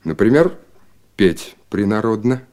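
Reflective submarine officer, music behind. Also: male voice, fairly low pitch, animated, darkish tone. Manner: measured, earnest, introspective. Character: reflective Soviet submarine officer telling his own story. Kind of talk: Soviet radio drama narration. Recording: 1980 radio play, guitar music behind. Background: guitar music.

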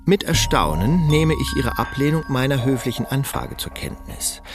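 A man's voice: medium pitch, sing-song, normal speed, over music. An actor playing an earnest, persistent man, a private scholar who talks with hesitant fillers. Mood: formal, pleading. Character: earnest, persistent male polyglot idealist. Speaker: private scholar petitioning officials. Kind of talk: radio drama acting.

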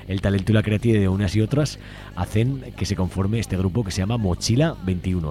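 A man's voice: lowish voice, dark tone. A man with a Spanish accent from Spain casually presenting music as a radio host, informal and often ending phrases with a checking question. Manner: informal, frequently tagging phrases with "¿no?".

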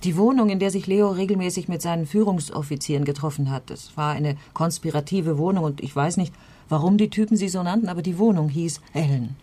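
A woman's voice: fairly low pitch, brisk, warm tone, slightly rough. An actress playing a troubled, guarded mother under police questioning in a radio crime play, subdued and defensive. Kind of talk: German radio play dialogue.

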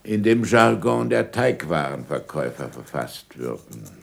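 An actor playing an older, sardonic and imperious scholar in a German radio drama, dictating deliberately with irony and curt commands. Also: male voice, a bass voice, very animated, gravelly, exaggerated voice. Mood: dictating, ironic.

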